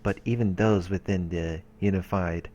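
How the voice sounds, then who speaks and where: A man's voice: low voice, fairly even intonation, normal speed, mellow, lo-fi sound, slight background noise. A man hosting a let's play, reading visual novel narration aloud with casual asides and the odd stumble over words. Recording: music under the voice. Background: visual novel background music.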